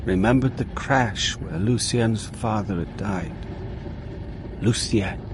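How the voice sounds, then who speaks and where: An actor playing a world-weary, middle-aged police inspector, narrating his case in a measured, dry, reflective radio drama style. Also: lowish voice, background noise. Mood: brooding, observant. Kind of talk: radio drama, first-person detective narration.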